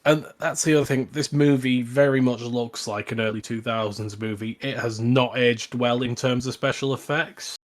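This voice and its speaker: A man's voice: mid-range voice, steady tone, normal speed, few pauses. A man chatting casually as a podcast panellist, giving opinions in an informal discussion.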